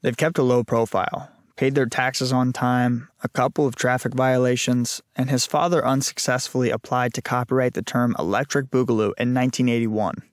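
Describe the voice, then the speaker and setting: A man voicing a dutiful, literal-minded junior agent in a deadpan, deferential manner. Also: mid-range voice, normal speed, few pauses, dry recording, clean studio sound, pitched above the performer's own voice, close to an everyday voice.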